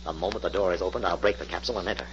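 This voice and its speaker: An actor playing a confident, businesslike crime-fighter in a 1940s radio drama. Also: male voice, sits low, rapid-fire, close to an everyday voice. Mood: resolute. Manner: assured, businesslike. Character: confident, brisk male crime-fighting hero. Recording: muffled, noisy old radio recording.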